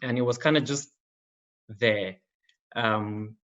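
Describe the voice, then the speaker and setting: A man's voice: mid-range voice, steady tone, takes it slowly, fairly bright tone. A young man from Zimbabwe giving an online conference talk as a games marketing manager, in a casual style with many ums and likes. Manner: casual, filler-heavy delivery with frequent "um" and "like". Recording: slightly dull lo-fi audio.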